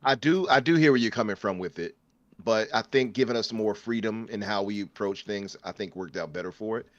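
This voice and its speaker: Man, very deep voice, agreeable, hesitant, stumbling, dry muffled call audio. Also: a little fast, no echo. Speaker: podcast guest. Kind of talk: casual gaming podcast chat.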